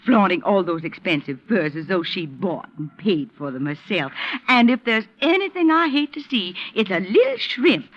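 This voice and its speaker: An actress playing a gossipy, snobbish older widow who chatters on and on, in 1950s radio drama acting. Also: female voice, mid-range voice, sing-song. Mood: gossiping. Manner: chattering, prim, rambling on without pause. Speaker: neighbor being questioned. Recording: muffled old radio broadcast.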